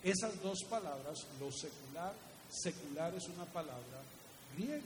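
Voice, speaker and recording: A man's voice: on the high side, animated, moderate pace. A man preaching a didactic sermon, posing rhetorical questions and repeating key phrases. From Guatemala. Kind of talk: teaching sermon. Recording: echoey hall reverb with faint hiss.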